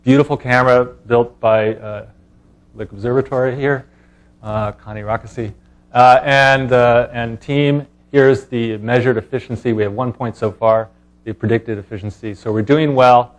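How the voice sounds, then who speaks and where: A man presenting as a scientist at a conference, speaking in a halting way with pauses mid-thought. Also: medium pitch, fairly even intonation. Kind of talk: conference talk and question answering.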